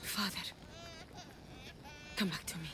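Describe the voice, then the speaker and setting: A woman's voice: slow pace, background noise, natural voice. An actress playing a tender young mother and loyal daughter in a dramatic video game, speaking with emotion. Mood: pleading. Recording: loud music behind the voice.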